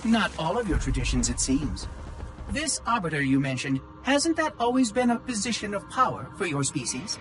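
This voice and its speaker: High lively interrogator type, probing, measured, game cutscene. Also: male voice.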